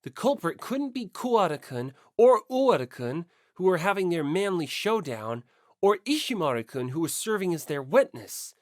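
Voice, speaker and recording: Woman reading a story audiobook in first person, lively pitch, dry no-echo sound. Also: normal speed. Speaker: audiobook narrator.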